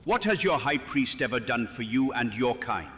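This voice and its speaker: An actor playing a stern, accusing royal, with a cool, formal, cutting delivery in a fantasy audio drama. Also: male voice, mid-range voice, big pitch swings, normal speed, a bit bright. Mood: accusing, cold. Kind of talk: dramatic audio fiction acting. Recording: long hall reverb.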